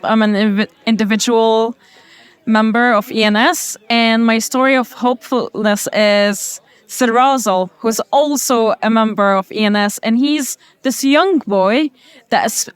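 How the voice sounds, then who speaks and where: Woman, crisp clear voice, fairly high, enthusiastic, dry sound. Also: no room sound. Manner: warm and enthusiastic, with casual fillers. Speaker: network member. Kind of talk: interview testimony.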